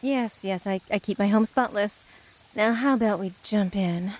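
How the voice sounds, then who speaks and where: A woman's voice: mid-range voice, moderate pace, a little mellow, lightly acted. A woman acts out a predatory female water spirit in a fantasy audio drama. The voice is coaxing and menacing, impatient beneath false sweetness, with faint noise behind it.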